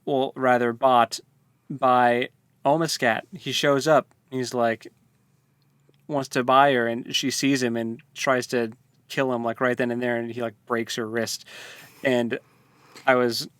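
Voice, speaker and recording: A man's voice: mid-range voice, level tone, measured pace, a bit bright, clear, resonant tone, dry recording. A man co-hosting a casual book discussion podcast, hesitant and full of restarts as he talks through a story. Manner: hesitant, many fillers and restarts. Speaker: podcast co-host.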